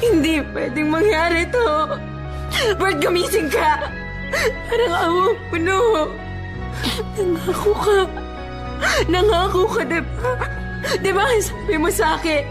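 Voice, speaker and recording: A woman's voice: extremely high pitch, measured pace, over loud music. An actress playing a grieving, pleading young woman who has lost her partner, in a Tagalog radio drama. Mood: wailing, desperate grief.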